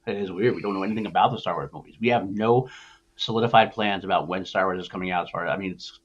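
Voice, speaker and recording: Male podcast host, quick pace, lower pitch, opinionated, informal, casual discussion, muffled recording. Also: slightly rough. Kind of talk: casual pop culture discussion. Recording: dull, muffled audio.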